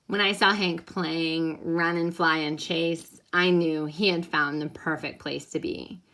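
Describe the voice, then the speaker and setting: A woman reads a picture book to children with a bright, forceful voice that sits a little low. She is expressive and animated, like a teacher guiding young listeners through a story. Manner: animated, pausing to ask listeners questions. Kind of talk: expressive storybook read-aloud with think-aloud questions.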